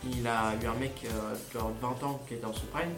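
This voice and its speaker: A man's voice: medium pitch, fairly even intonation, fairly quick. A young man co-hosting a casual student radio chat, eager and rambling, full of fillers. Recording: echoey room, music behind.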